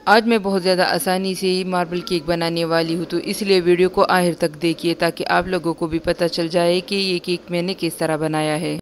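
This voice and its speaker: A young woman host giving a calm, step-by-step recipe voice-over for her home cooking channel. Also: on the low side, moderate pace, hardly any pauses. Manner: calm, instructive, repeats steps plainly.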